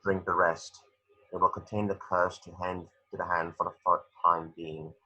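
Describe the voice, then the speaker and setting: Man acting anguished, low-voiced bitter wizard. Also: level tone, normal speed, slightly rough, muffled recording. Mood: stern. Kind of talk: screenplay table read acting.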